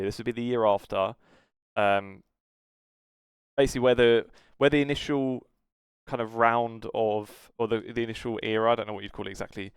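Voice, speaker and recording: A man's voice: lowish voice, smooth, dry recording, studio quality. A man with a British accent co-hosting a casual esports podcast, talking in a rambling, hesitant way that trails off. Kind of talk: casual esports news discussion.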